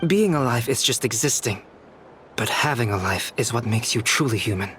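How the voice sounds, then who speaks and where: A man playing a calm, soft-spoken young scholar, an artificial human in a video game, speaking formally and reflectively. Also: medium pitch, slight background noise, lightly acted. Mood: calm, thoughtful. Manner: measured, reflective, formal diction. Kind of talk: scripted video game dialogue. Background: background music.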